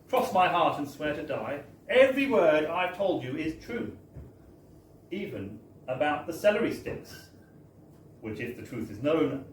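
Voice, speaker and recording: A man giving a theatrical, wry live reading of a comic first-person story, with emphatic tag phrases. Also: fairly high pitch. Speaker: reader of a story at a book launch. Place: bar, live event. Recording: roomy, distant live recording with background noise.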